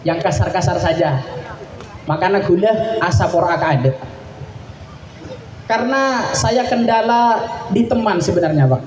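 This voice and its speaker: A man's voice: sits high, darkish tone, slight background noise. A young man from Madura, preaching a sermon to a gathering and reciting Arabic in a rising, declamatory way. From Madura, Indonesia. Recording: echoey hall, distant mic, faint ambience.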